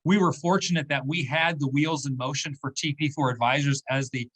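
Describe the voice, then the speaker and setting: A man's voice: on the high side, fairly even intonation, fairly quick, fairly bright tone, slightly rough, low-quality recording. A man in his fifties, a business owner speaking as a guest in an interview, explaining in a conversational way and checking in with "okay?" and "right?". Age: 50s.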